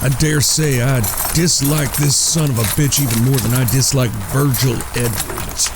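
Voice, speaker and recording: A man portrays a surly eldest brother in a Western radio play. He speaks in a gruff, disdainful cowboy voice of middling pitch, with clear ambient noise behind him. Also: fairly even intonation, moderate pace, lightly acted. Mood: bitter. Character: surly, foul-mouthed eldest outlaw brother, Western gunslinger. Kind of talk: Western audio drama acting.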